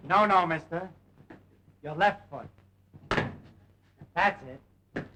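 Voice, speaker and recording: A high-voiced brash radio huckster. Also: male voice, fairly bright tone, a little hiss or hum, heavily acted, rough recording. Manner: breezy salesman patter, wisecracking. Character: brash young male radio huckster dance teacher. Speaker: fast-talking dance-school radio showman. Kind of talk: 1930s film acting. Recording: old film soundtrack with music.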